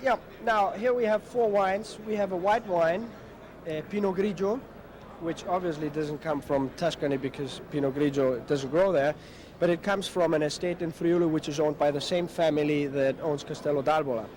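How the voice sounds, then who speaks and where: A man presents a winery's wines, pausing often with fillers. His voice is notably high for a man, with a faint noise behind it. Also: a little mellow. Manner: informative, with many hesitations. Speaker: winery representative. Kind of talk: product explanation.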